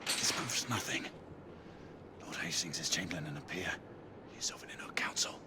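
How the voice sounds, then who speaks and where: An actor playing a grim, skeptical British knight in a video game, terse and formal, with a British accent. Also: male voice, rumbling low, expressive, moderate pace, whispered. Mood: skeptical, hushed. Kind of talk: dramatic video game acting. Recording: loud game ambience behind the voice.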